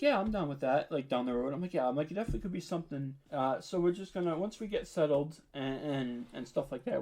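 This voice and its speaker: Man, fairly high voice, casual podcast guest, informal, rambling. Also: a little mellow. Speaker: interview guest, audio drama podcaster. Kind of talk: casual interview chat.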